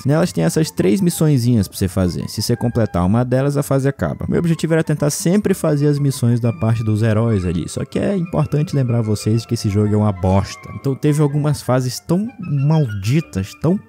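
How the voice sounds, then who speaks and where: A man's voice: medium pitch, mellow. A young Brazilian man delivering comedic scripted narration in a slangy, sweary, sarcastic manner. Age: young adult. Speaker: gaming video creator. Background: background music.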